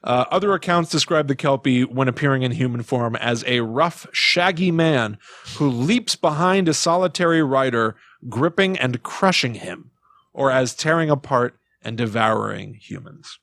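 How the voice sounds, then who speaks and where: A man's voice: mid-range voice, animated, moderate pace, fairly bright tone, no echo, studio quality. A man co-hosting a podcast, telling folklore in a casual, wry and playful chat. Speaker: podcast co-host.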